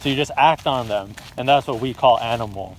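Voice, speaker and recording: A man's voice: mid-range voice, brisk, slight background noise, rough recording. Young man rambling casually outdoors. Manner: rambling, laid-back, swears casually. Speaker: friend recording the talk. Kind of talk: casual philosophical chat. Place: outdoors on a walk.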